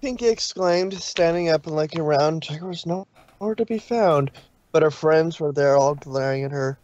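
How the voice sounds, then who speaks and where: A man's voice: fairly high pitch, normal speed, a little mellow, dry recording. Man narrating a fan reading, dry lo-fi. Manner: plain reading with slips and laughs. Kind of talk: casual fan-fiction reading on a stream. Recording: dull dry lo-fi stream audio.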